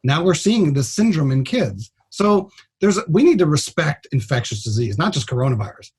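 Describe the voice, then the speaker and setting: Husky higher-voiced man, blunt doctor. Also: big pitch swings, normal speed, a little mellow. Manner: plain-spoken, blunt, informal. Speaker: trauma surgeon, guest doctor. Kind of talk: explanatory radio interview.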